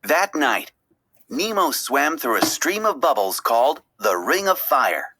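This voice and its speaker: A woman reading a children's story aloud as an expressive, dramatic narrator. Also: rumbling low, unhurried. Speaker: storybook reader.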